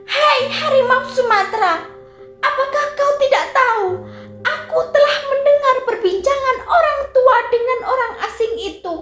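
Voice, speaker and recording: A woman voicing an excitable, frantic male rooster in a storybook tale, squealing and crowing. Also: moderate pace. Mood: alarmed. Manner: squeals and crows, shrill and shouty. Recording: roomy echo, faint music.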